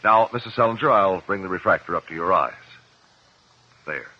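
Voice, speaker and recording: A muffled old radio drama recording of a composed male investigator. He has a slightly rough voice and an American accent, and he questions formally and precisely, weighing each phrase. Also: sits low, animated, normal speed. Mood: calm, probing. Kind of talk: 1950s radio drama acting.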